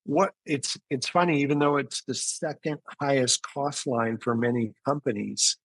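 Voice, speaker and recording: A man's voice: medium pitch, fairly bright tone, slightly rough, no room sound, low-quality recording. A man giving explanatory, conversational answers as an interview guest, often restarting his phrases. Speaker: interview guest, physician and business founder.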